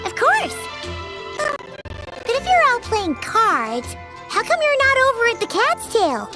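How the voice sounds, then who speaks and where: A woman voicing a tiny, childlike, bubbly fairy companion in a video game, with a squeaky voice and an eager, exclamatory manner. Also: huge pitch swings, slow, over loud music, heavily acted. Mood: curious. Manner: exclamatory, eager, refers to self in third person.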